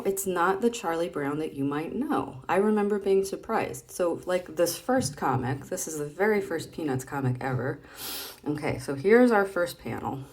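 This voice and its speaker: A woman's voice: deep voice, darkish tone. A woman casually reading comics aloud, chatty and playful, thinking aloud with some hesitation as she explains things.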